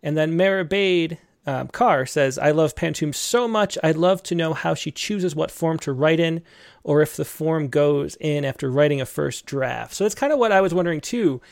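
Guy interviewing a poet, kinda high for a man, lots of ums. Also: lively intonation, moderate pace, vocal fry, no room sound, studio quality. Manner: hesitant, many ums. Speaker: interview host. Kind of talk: casual interview.

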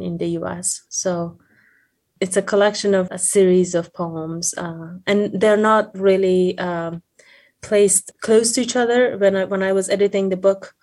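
A woman's voice: lowish voice, a little mellow. A woman from Afghanistan, a native Persian speaker with an Afghan accent, giving reflective interview answers as a poet, often searching for her words.

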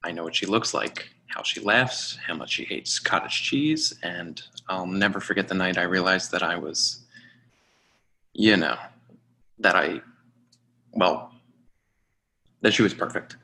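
A man's voice: low voice, vocal fry, bright, forceful tone, slight background noise, heavily acted. An actor playing an awkward, lovesick man in his twenties in a play reading, speaking hesitantly and running himself down. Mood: awkward, wistful. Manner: hesitant, halting. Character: lovesick awkward 24-year-old man, self-deprecating. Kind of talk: play reading, confessional monologue.

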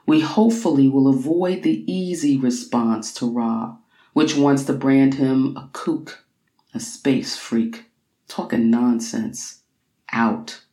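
Slow, deliberate woman reading in a roomy space. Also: a bass voice, warm tone. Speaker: reader of a book excerpt. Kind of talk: literary reading of scholarly prose with quotations.

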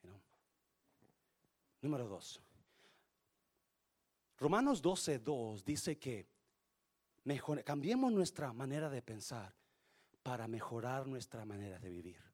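Animated preacher with a Spanish accent. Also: male voice, mid-range voice, takes it slowly, long pauses, breathy edge. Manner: switches between Spanish and English mid-sentence; swings from quiet to shouting. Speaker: pastor. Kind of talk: bilingual sermon.

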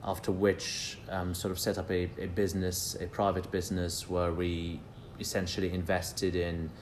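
A man in his thirties from Palestine speaks as a podcast guest in a very low, deep voice. He talks casually and haltingly, looping through fillers and false starts, over a faint low rumble. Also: completely flat delivery. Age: 30s. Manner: hesitant, many fillers and restarts.

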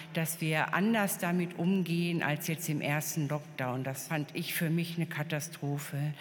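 Low-voiced woman, thoughtful. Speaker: interviewee. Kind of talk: reflective personal statement. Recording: long hall reverb.

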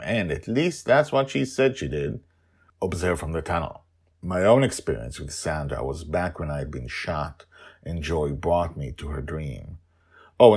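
A man telling a story as podcast host and author, emphatic, with dramatic pauses and informal commentary. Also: a bass voice, darkish tone, slightly rough.

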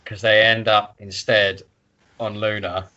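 A man talks casually as a podcast host discussing a book. His voice is bright and punchy, and his manner is irreverent and sweary. The audio is a little dull and lo-fi. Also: fairly low pitch, flat delivery, measured pace.

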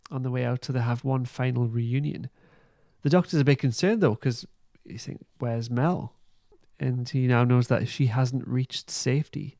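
A man hosting a podcast, giving a casual recap in an informal, halting way. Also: mid-range voice, creaky, mellow, no echo, clean studio sound. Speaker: podcast host. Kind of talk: casual plot recap and review.

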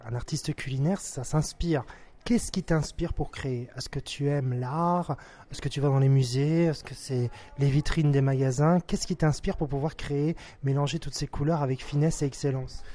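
A male interviewer with a slightly higher, mellow voice. He speaks with effusive praise for his guest before asking each question. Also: moderate pace. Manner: effusive, flattering. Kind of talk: interview host.

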